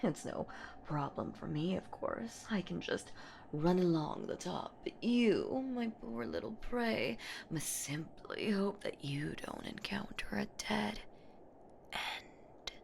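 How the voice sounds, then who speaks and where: A woman's voice: on the low side, big pitch swings, slow pace, creaky, darkish tone, full character voice. A woman voicing a menacing, playful centuries-old boogeywoman in a horror audio roleplay, with a theatrical, teasing manner that often slips toward a whisper. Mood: gleeful, taunting. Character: menacing, playful centuries-old female boogeywoman monster hunting her prey.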